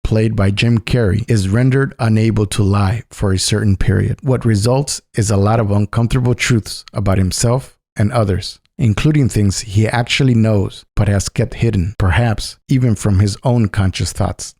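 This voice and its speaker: A man's voice: lowish voice, steady tone, moderate pace, few pauses, a little mellow. A man reading a scripted political polemic as narration. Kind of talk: scripted narration, polemical essay read aloud.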